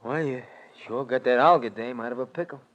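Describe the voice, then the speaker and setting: An actor playing a brash, streetwise, good-hearted sidekick in a vintage 1940s radio mystery, talking in colloquial slang. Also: male voice, mid-range voice, fairly quick, dull audio, lightly acted. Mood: puzzled, wry. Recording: vintage 1949 radio recording.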